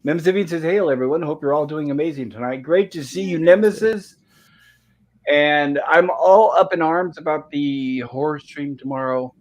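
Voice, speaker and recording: A man hosting a casual livestream chat, polite and welcoming, with a slightly raspy throat irritated by smoke. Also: on the high side, moderate pace. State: throat irritated by smoke, holding back a cough. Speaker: livestream host.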